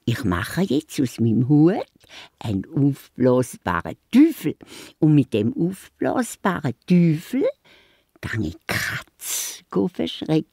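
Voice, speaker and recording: An older woman with a Swiss German accent playing a bossy, excitable little magic mouse in a children's radio play, theatrically addressing listeners like a showman. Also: low-pitched, takes it slowly, no room sound, clean studio sound, exaggerated voice. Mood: bold, triumphant.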